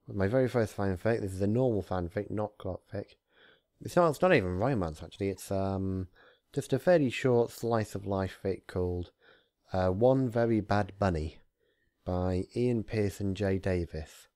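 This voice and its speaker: A man who hosts readings of fan fiction, talking casually and narrating with theatrical, deliberate phrasing. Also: lowish voice, dark tone, no room sound, clean studio sound. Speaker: fan fiction reader, channel host. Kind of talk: casual intro, then narrated story reading.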